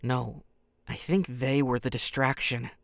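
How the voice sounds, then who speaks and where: An actor playing an earnest, guarded, sharp-minded teenage boy in an audio drama. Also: mid-range voice, steady tone, measured pace, vocal fry, dry recording, clean studio sound. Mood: earnest, deducing.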